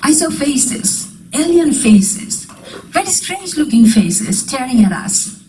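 A woman's voice: a little high, darkish tone, far from the mic, slight background noise, poor audio. A middle-aged woman from Bangladesh giving a storytelling talk with poems, holding a microphone in a community meeting room. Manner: storytelling, wondering tone, breaks into light laughs. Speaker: guest speaker. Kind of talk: lecture with poetry reading. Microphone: handheld microphone.

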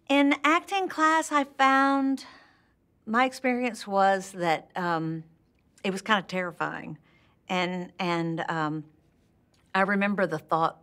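An older woman from Texas with a Texan accent, candidly recalling her acting start in an indoor interview, laughing often. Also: medium pitch. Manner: warm and candid, laughs often, restarts sentences. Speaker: actress interviewee. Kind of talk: reflective interview talk. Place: indoor room with curtains and plants.

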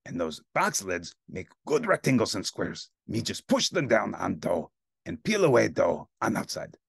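A man voicing a greedy, cookie-obsessed male monster who talks in broken "me" grammar with a gravelly, husky growl. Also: fairly low pitch, wildly swooping pitch, normal speed, dry recording, clean studio sound, exaggerated voice. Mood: gleeful. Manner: broken caveman grammar, self-called "me".

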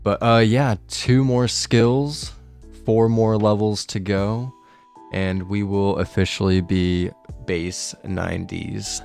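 A young man with a raspy, hoarse voice from being ill, chatting casually over his gameplay and swearing now and then. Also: lowish voice, fairly even intonation, takes it slowly, darkish tone, soft background music. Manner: laid-back, swears casually. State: hoarse from illness. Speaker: gaming video creator.

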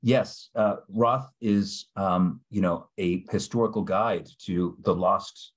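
A middle-aged man from New England speaks over a video call from a small room, hosting a book discussion. His voice is low-pitched and husky, and he pauses often with fillers as he thinks aloud. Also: fairly even intonation. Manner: hesitant, many fillers, thoughtful. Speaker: book club host.

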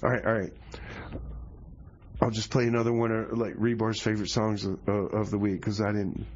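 A man playing a hapless, exasperated music radio host in a comedy skit, talking haltingly and repeating himself. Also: fairly low pitch, flat delivery, lo-fi sound, natural voice. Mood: flustered.

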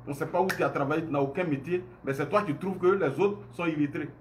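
A man in his forties with an Ivorian French accent delivers an emphatic, indignant speech straight to his phone camera. His voice sits high for a man, and he keeps firing off rhetorical questions. It is recorded indoors in a room at home. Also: fast, a little hiss or hum. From Côte d'Ivoire. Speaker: online commentator. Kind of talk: impassioned monologue to camera.

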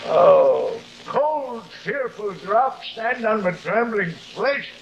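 Haunted, declamatory stage actor. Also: male voice, high voice, sing-song, very slow, gravelly, a crowd in the background, exaggerated voice. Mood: anguished. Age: middle-aged. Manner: declamatory, dramatic. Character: haunted, grandiose middle-aged stage actor, tormented by guilt. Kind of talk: theatrical 1950s television acting. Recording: muffled, hissy old television soundtrack.

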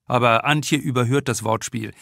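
A man narrating a comic crime novel with deliberate, expressive storytelling in a studio. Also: medium pitch, vocal fry, dry recording. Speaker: author reading his audiobook.

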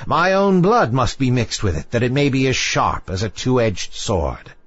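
A man's voice: mid-range voice, sing-song, a little slow, breathy edge, no echo, muffled recording. A man voicing a sinister, scornful old female sea witch in a storybook fairy tale, with theatrical, bargaining delivery. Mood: greedy, mocking.

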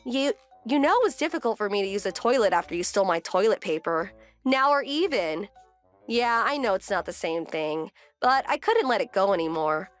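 A woman's voice: medium pitch, lively intonation, normal speed, faint music behind, close to an everyday voice. A woman voicing a fed-up young wife who narrates her family grievances in a conversational, pointed way for a manga dub. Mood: firm, reflective.

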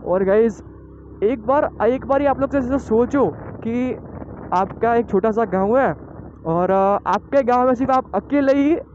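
A young man from Gujarat chatting excitedly to viewers while riding a motorbike, recorded on a helmet mic. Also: very high voice, measured pace. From Gujarat, India. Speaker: motovlogger. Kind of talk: casual motovlog narration while riding. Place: outdoors on a moving motorbike. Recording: muffled lo-fi audio with wind noise.

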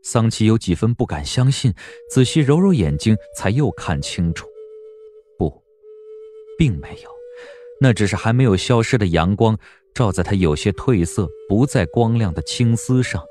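Deep, expressive male storyteller. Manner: measured, literary storytelling. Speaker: audiobook narrator. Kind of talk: scripted dramatic narration of a period story.

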